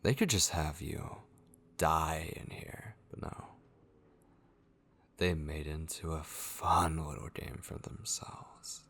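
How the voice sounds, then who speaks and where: A man playing a sinister, obsessive voice in a horror ASMR roleplay, breathy and often whispering, taunting a captive vampire with theatrical menace. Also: a bass voice, takes it slowly, a bit bright, full character voice. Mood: mocking, menacing.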